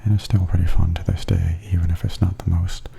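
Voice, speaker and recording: A man giving softly spoken ASMR tour commentary in a hushed, breathy half-whisper. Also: sits low, little pitch movement, a little fast, slight background noise. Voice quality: soft, breathy. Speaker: host of ASMR walking tour videos.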